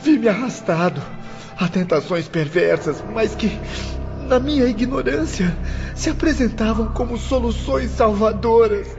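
Grieving young convict, high creaky voice, slow tearful lament, radio melodrama, dull recording with faint music. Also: male voice. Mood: bitter regret. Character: bitter, sorrowful young orphaned prisoner. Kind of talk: melodramatic radio drama monologue.

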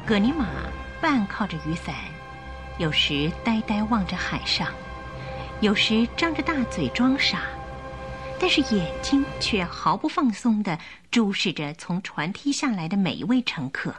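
A woman narrating a scripted radio drama in an expressive, carefully enunciated storytelling style. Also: medium pitch, measured pace, over music.

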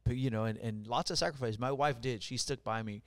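A man's voice: medium pitch, brisk, dry recording. A middle-aged man from Puerto Rico, a mortgage lender, talking bluntly and with energy as a podcast guest. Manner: blunt, profane, energetic storytelling. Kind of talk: casual podcast interview. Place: office studio.

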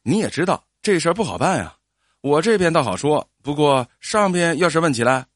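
A man playing a stern village insurance adjuster, brisk, officious and quick to scold, in an audio story. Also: fairly high pitch, big pitch swings, no room sound, clean studio sound. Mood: reluctant.

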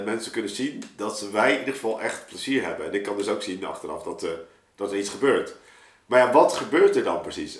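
Casting: man, lower-pitched voice, informal slangy podcast guest who meanders as he reflects, roomy echo on the recording. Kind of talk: casual reflective group conversation. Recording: echoey room.

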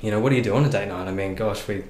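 A man's voice: lowish voice, rapid-fire, darkish tone. A man with an Australian accent, a podcast guest chatting casually, friendly and hesitant with many ums. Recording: roomy echo with faint noise.

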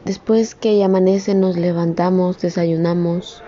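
A woman's voice: lowish voice, fairly even intonation, unhurried, dark tone, dull audio, slight background noise. Earnest young woman venting. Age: young adult. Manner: earnest, rambling, rising to emphatic scolding. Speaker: communications student. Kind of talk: personal vlog monologue.

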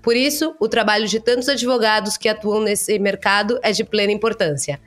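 A woman's voice: medium pitch, fairly quick, soft background music. Brazilian woman hosting a podcast interview. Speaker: podcast host.

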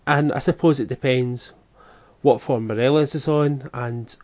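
A man co-hosting a football podcast in a halting, filler-heavy chat. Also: mid-range voice, fairly even intonation, a little mellow, no room sound. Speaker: podcast co-host. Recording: dry, dull lo-fi sound.

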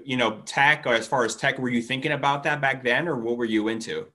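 A man's voice: mid-range voice, a little fast, bright, forceful tone. Man hosting an interview podcast. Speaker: podcast host. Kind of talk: conversational interview show hosting.

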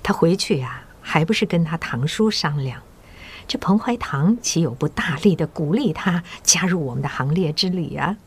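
An actress playing a middle-aged female school principal who secretly recruits agents, speaking in a measured, coaxing and kindly authoritative way in an audio drama. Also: deep voice, expressive. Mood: quietly pleased. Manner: measured, coaxing, elder's patient tone.

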